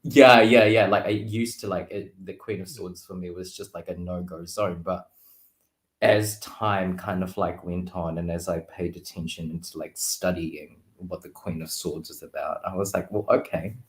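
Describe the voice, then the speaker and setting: Deep-voiced man in an echoey room. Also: fairly even intonation, normal speed. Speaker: guest tarot reader. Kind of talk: casual chat, reflective tarot interpretation. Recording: roomy, echoing sound.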